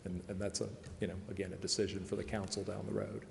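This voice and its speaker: A man's voice: low voice, flat delivery, fast talker, gravelly, darkish tone. A man explaining things at a public meeting as a consultant, hedging and repeating himself as he goes. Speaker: consultant presenting to a city council. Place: large indoor council chamber. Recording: echoey meeting hall with background noise.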